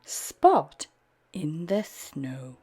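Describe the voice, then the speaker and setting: A woman reads a bedtime story very slowly, her pitch rising and falling in big, lively swoops. Her voice is soft and breathy. Faint music plays underneath. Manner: slow, soft, very expressive reading. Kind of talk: children's read-aloud narration.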